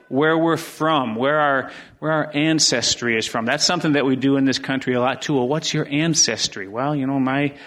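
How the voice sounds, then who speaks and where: Middle-aged guy from wisconsin preaching in church, chatty, lots of restarts, mid pitch. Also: a bit bright. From Wisconsin, USA. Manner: conversational, rambling with repeated restarts. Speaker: pastor. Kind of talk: sermon. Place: church sanctuary.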